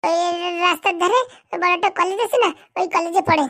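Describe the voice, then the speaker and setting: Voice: very high voice, fairly even intonation, brisk, bright, forceful tone. A performer voicing an eager, fawning young village boy who trails after his boss in a comic cartoon. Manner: deferential, chatty, fawning.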